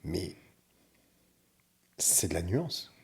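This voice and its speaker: A man talking as a podcast guest, animated and explaining his views with examples. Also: low-pitched, very slow, gravelly. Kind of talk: casual podcast chat.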